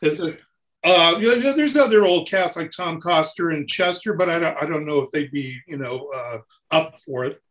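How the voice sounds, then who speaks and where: A man chatting casually as an interview guest, telling rambling, animated anecdotes in a talkative way. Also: fairly high pitch, moderate pace. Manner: gabby, rambling, animated storyteller. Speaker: interview guest, touring keyboard player.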